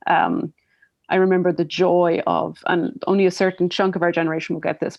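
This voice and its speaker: Irish woman in her forties musing in an interview, lots of ums, lowish voice, dull lo-fi sound. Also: darkish tone. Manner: thoughtful, many fillers and restarts. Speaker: writer, guest. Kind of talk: reflective conversational interview. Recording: dull lo-fi audio.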